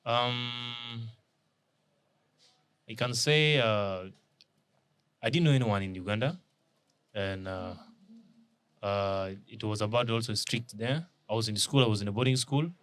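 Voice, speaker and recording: A man's voice: medium pitch, measured pace, pauses often, a bit bright, clear, resonant tone. Young guy guest, unsure, trails off, mid voice. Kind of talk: casual chat.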